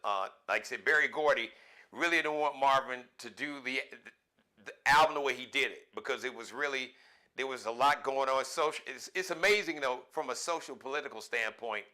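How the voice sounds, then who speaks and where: A man's voice: mid-range voice, fairly quick, sharp tone. A man hosting an interview, chatting in a conversational, affirming way and asking the guest questions. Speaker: radio show host. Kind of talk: interview host chat.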